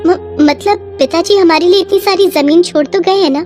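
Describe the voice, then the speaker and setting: A woman voicing a gentle, trusting village sister-in-law in a moral story, polite and concerned. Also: extremely high pitch, moderate pace, faint music behind. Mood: worried.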